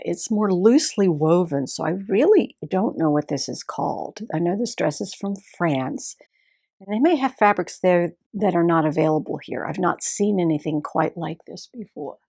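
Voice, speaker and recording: Woman with a Texas accent, low-ish voice. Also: animated, a little mellow, husky. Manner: admiring, careful, explains details slowly. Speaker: seamstress host. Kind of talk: casual show-and-tell sewing video.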